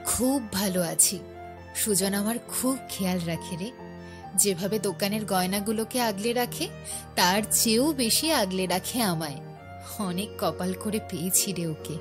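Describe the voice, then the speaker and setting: A woman's voice: medium pitch, unhurried, music in the background, natural voice. A woman acting a chatty, doting married sister from a village in an audio drama. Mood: happy, tender. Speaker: voice actor.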